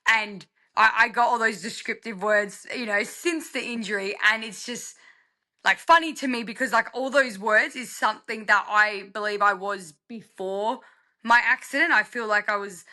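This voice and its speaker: A woman's voice: medium pitch, normal speed, pushed and bright. A young Australian woman from rural South Australia, chatting casually as a podcast guest in a candid, self-deprecating way. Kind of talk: casual personal interview chat.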